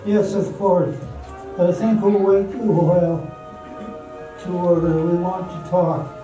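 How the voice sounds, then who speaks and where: A man's voice: high-pitched, flat delivery, takes it slowly, dark tone. A man acting as an eager, nervous suitor in an amateur radio drama, earnest and hesitant. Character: eager, nervous young suitor courting a woman. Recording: very echoey hall from far off, music.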